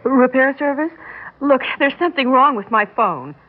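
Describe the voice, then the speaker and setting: A woman's voice: sits high, animated, moderate pace. An actress playing a chatty, easily exasperated young New York woman in a radio drama, baffled and sarcastic in a conversational way. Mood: puzzled. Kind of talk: 1960s radio drama acting. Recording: muffled narrow-band old radio recording.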